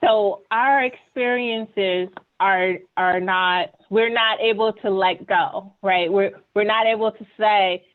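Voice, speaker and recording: A woman's voice: medium pitch, steady tone, slow, few pauses. Woman on a tinny video call. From Ghana. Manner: earnest, often ends points with "right?". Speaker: webinar panelist. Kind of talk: conversational presentation.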